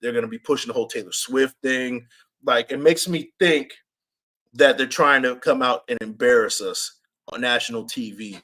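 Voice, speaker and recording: A man with a raspy voice hosting a sports fan podcast, chatting casually and repeating himself for emphasis. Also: medium pitch, moderate pace. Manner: animated, repeats himself, reads chat comments aloud. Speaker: podcast host. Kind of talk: casual live-stream chat.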